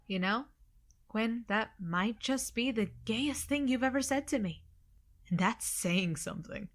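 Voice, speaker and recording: A woman's voice: on the high side, unhurried. A young woman with an American accent playing a cocky, irreverent best friend who teases playfully in an audio drama. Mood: playful teasing. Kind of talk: naturalistic audio drama acting.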